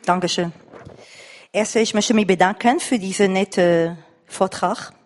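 A woman from France with a foreign accent, speaking from the floor haltingly and insistently as she searches for words. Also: medium pitch. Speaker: audience member at a lecture. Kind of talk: spontaneous comment from the floor. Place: reverberant lecture room or hall. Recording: echoey hall recording of live talk.

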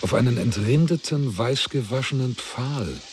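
A man narrating a literary radio drama in a measured, deliberate way. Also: medium pitch, expressive, background noise, lightly acted.

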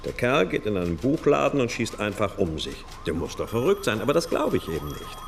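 An actor playing a brooding, intuitive police detective in a radio crime drama, thinking aloud with insistent questions. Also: male voice, on the low side, very animated, brisk, creaky, slight background noise. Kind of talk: naturalistic German radio crime drama acting.

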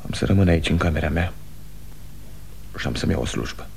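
An actor playing a brooding, resentful yet yielding grown son in 1960s radio theatre style, subdued and heavy. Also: male voice, sits low, expressive, vocal fry, a little mellow, a little hiss or hum, full character voice.

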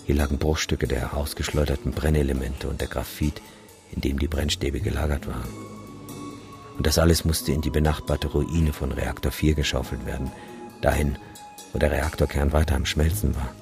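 An actor playing a cynical, bleak photographer and disaster witness in a radio drama, sardonic and matter-of-fact. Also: male voice, very deep voice, little pitch movement, moderate pace, creaky, music in the background. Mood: grim, matter-of-fact. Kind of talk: radio drama monologue and dialogue.

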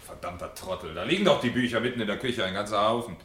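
An actor playing a domineering, sardonic fire captain in a radio drama, with a commanding, mocking manner. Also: male voice, on the low side, fast, fairly bright tone, roomy sound, lightly acted. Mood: scornful, angry. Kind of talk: 1970 German radio drama acting.

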